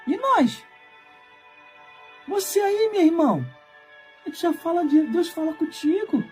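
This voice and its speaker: A woman's voice: very high-pitched, lively intonation, measured pace, darkish tone, soft background music. A man voicing the biblical Miriam as a scheming woman who coaxes Aaron to make her a priestess, wheedling and pleading. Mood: coaxing.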